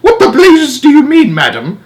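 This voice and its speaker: A man voicing a furious, blustering English father in a storybook, spluttering and stammering as he shouts. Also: very high voice, wildly swooping pitch, normal speed, pitched above the performer's own voice, heavily acted. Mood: angry, blustering.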